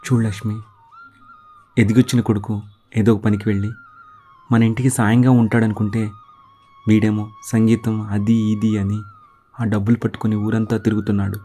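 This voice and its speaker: Casting: male actor as tired older village dad, mellow and flat-toned, measured grumbler for a children's cartoon. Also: fairly low pitch, soft background music, lightly acted. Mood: weary complaint. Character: weary, grumbling ageing village father and husband. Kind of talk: Telugu children's cartoon dialogue.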